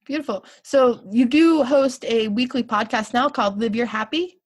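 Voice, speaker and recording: A woman's voice: on the high side, moderate pace. Upbeat woman hosting a podcast. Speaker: podcast host. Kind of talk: interview hosting.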